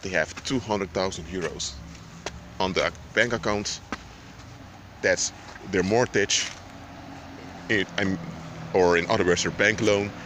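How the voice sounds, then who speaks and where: Loud, low-voiced man preaching outdoors. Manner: loud, emphatic voice; halting, with restarts and fillers. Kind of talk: spoken teaching monologue. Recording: reverberant with loud traffic noise.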